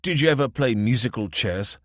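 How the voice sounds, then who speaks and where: A man's voice: mid-range voice, animated, a little fast, no room sound, clean studio sound, pitched above the performer's own voice, close to an everyday voice. A man voicing a wry, understated man with a London accent who reluctantly leads the town's police. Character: wry middle-aged man, reluctant town police chief.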